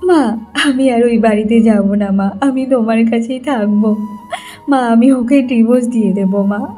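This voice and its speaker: Cartoon role, female actor: mistreated young housemaid wife, high mellow voice, emotional, pleading, stands her ground. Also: natural voice. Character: wronged young housemaid wife, tearful but defiant. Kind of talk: Bengali cartoon story acting.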